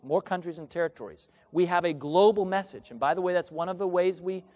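A man's voice: sits high, fairly quick, a little mellow. A man preaching a sermon, reading scripture aloud and asking the congregation questions they answer back. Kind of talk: sermon with call and response. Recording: long reverb of a large hall.

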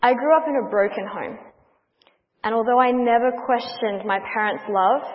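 An Australian woman in her thirties, praying and then giving a personal testimony as a guest speaker, in an earnest, measured way. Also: on the high side. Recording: dull audio with long hall reverb.

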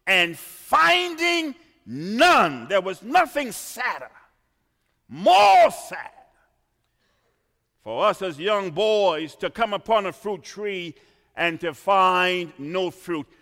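A man from Trinidad preaching a sermon in a church, swinging from quiet asides to shouted emphasis. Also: high-pitched, creaky. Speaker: pastor. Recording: reverberant church hall.

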